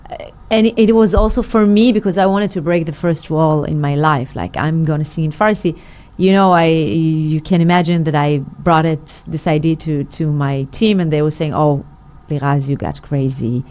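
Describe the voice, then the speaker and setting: A woman's voice: low-pitched, normal speed, darkish tone. A middle-aged woman, a singer, telling her story in an interview with many fillers and restarts. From Israel. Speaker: guest, singer. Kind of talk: interview conversation.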